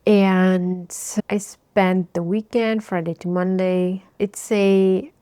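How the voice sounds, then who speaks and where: A woman in her thirties, originally from Bulgaria, giving a thoughtful personal life update and often pausing to search for words. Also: medium pitch, flat delivery, warm tone, clean tone, no echo, studio quality. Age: 30s. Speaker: vlogger.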